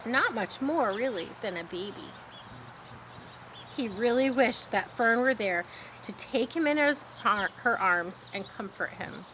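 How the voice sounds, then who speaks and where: A woman reading a children's story in an expressive storytelling style, with informal asides. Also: a little high, unhurried. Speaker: storybook reader. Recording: faint noise with birdsong behind. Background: birdsong.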